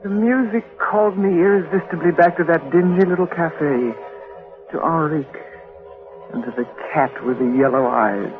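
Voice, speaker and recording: A woman's voice: sits low, moderate pace, natural voice. An actress playing a haunted, frightened young woman in anguished, breathless 1940s radio drama style. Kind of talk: 1940s radio drama acting, with first-person narration. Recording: tinny band-limited old radio recording, music.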